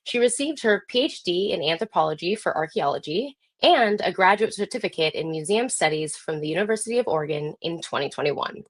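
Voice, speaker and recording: A woman's voice: lowish voice, lively intonation, fairly quick, few pauses. An American woman speaking as host, reading a scripted introduction for a live online program.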